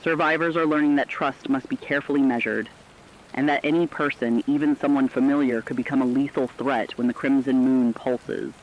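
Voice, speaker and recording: A man narrating a scripted emergency radio broadcast in a grave, measured manner. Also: mid-range voice, fairly even intonation. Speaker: radio announcer. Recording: slightly dull audio with faint rain noise.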